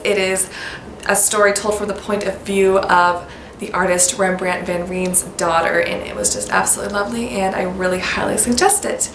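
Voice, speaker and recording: A woman with an American accent, talking casually to camera about baby names, upbeat and gushing, sometimes spelling words out letter by letter. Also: medium pitch. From United States. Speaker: baby-name vlogger. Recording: echoey room with background noise.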